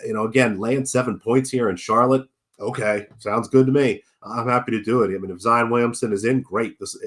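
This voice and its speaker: A man hosting a basketball betting show, sounding nasal as he gets over a cold, chatting casually with plenty of fillers. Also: on the low side. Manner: chatty, many fillers and restarts. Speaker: sports betting show host. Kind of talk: casual betting analysis video.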